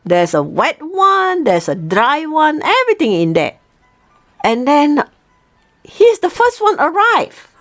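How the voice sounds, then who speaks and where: A woman voicing an excited servant who calls out that the second son has come home. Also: high voice, takes it slowly, faint music behind, pitched above the performer's own voice, exaggerated voice. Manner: excited, calling out.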